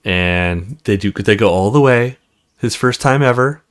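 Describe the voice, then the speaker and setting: A man giving casual let's play commentary as host, talking in a halting, conversational way. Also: lowish voice. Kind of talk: casual let's play commentary, reading game text aloud.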